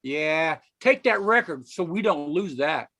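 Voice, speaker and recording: A man playing a tough, excitable police officer in an old-time radio drama. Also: on the high side, measured pace, exaggerated voice. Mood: excited.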